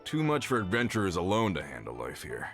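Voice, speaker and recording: A smooth-talking middle-aged merchant, with a mid-range voice that swings up and down as he sells himself. He is genial and boastful, the way a video game trader is. Soft game music sits faintly behind him. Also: low-pitched, huge pitch swings, fairly quick, exaggerated voice. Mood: persuasive. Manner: salesman-smooth, persuasive, talks himself up.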